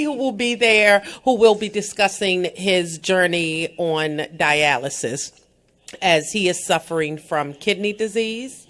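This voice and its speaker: An American woman hosts a radio talk show at an ordinary middle pitch. She talks emphatically and keeps calling out to her listeners, with plenty of "um" between her thoughts. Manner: lively and emphatic, with frequent "um" and direct calls to listeners. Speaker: radio host. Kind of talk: radio interview and event promotion.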